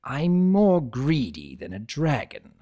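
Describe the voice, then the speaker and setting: A man voicing a proud, bitter demonic centaur villain with a menacing, drawn-out delivery in a fan fiction reading. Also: medium pitch, wildly swooping pitch, dark tone, heavily acted. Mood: proud, sneering.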